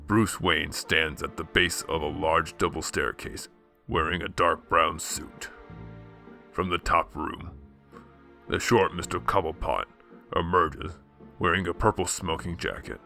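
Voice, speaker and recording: A man's voice: deep voice, fairly even intonation, unhurried, vocal fry, bright, forceful tone, faint music behind. A man reading scripted scene narration for a radio drama.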